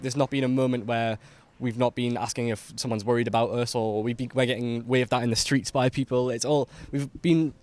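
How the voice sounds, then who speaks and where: A man's voice: medium pitch, a little mellow. A young man, a volunteer teacher, talking earnestly in a street interview. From England. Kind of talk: casual street interview answer.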